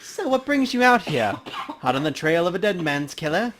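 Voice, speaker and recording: Casting: man playing a talkative, folksy game coroner, higher-pitched and very animated in delivery. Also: moderate pace, slight background noise. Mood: chatty, upbeat. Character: chatty, folksy male coroner at a crime scene. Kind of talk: acting out video game dialogue.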